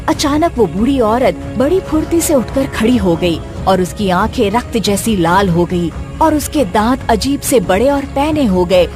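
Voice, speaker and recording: A woman reading scripted narration as the storyteller of a tale. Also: mid-range voice.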